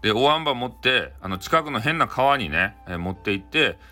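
Fast, animated Hakata-accented male storyteller. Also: mid-range voice. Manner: chatty, playful. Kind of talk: casual improvised comic retelling of a bedtime folktale.

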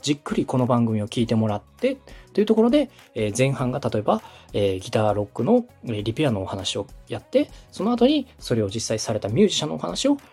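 A man's voice: mid-range voice, huge pitch swings, fast, few pauses, darkish tone, husky, soft background music. A man giving structured, polite advice as a radio director coaching a podcaster, methodical and instructive. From Osaka, Japan. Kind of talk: structured advisory talk, polite explanation.

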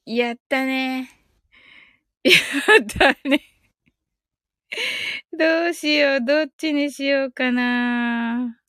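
Woman, high voice, casual live-stream host, giggly, polite, chatty, dry room-free sound. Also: fairly even intonation, very slow, long pauses, a bit bright, no room sound. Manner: giggly, breaking often into laughter; polite, thanking listeners often. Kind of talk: casual live chat with listeners.

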